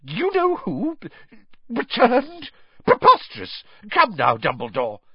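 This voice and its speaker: A man's voice: very high voice, expressive, a little fast, no echo, voice pushed up. Fudge: a man doing a blustering pompous official. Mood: incredulous. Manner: blustering, loud. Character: blustering pompous middle-aged male official. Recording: dull, dry lo-fi audio.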